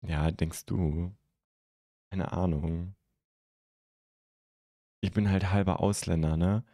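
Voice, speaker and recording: A young man from Germany hosting a live stream, chatting casually and haltingly as he answers viewer comments into a close microphone. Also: low-pitched, fairly even intonation, dark tone, no room sound. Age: young adult. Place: indoor streaming room at home. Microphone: close microphone on a stand.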